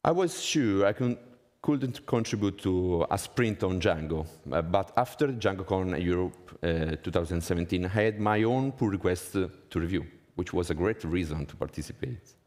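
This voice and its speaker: Low-pitched Italian man in a reverberant hall. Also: animated, normal speed, a little mellow. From Italy. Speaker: conference speaker. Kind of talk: prepared conference talk. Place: conference hall stage, indoors.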